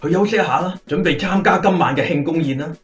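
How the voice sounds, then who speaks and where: A male actor playing a dignified, concerned military commander in a radio drama, measured and authoritative. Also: on the high side, echoey room, soft background music, close to an everyday voice. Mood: approving.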